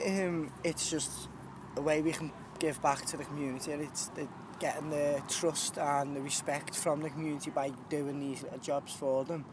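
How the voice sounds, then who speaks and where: A man talks sincerely about his community work, pausing now and then. His voice sits a little high for a man. He is recorded outdoors, with clear traffic noise behind him. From Liverpool, England. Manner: plain-spoken, sincere, some hesitations. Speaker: community volunteer gardener. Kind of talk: interview answers outdoors.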